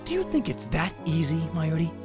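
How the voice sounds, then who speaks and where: A man's voice: on the high side, sing-song, a little fast. A man playing an anxious, dramatic young conspiracy theorist who narrates a visual novel in a theatrical, self-questioning way. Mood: wry, dismissive. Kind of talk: first-person visual novel narration, acted. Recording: music bed under the voice. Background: background music.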